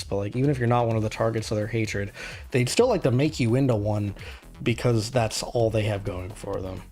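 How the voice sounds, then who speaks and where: A man's voice: lowish voice, darkish tone. A man hosting a casual stream chat, thoughtful and rambling with many restarts. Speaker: streamer host.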